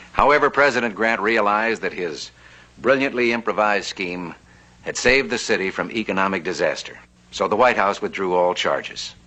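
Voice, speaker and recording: Lowish-voiced American TV narrator, a man. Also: sharp tone, low-quality recording. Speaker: narrator and host. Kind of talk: scripted television narration.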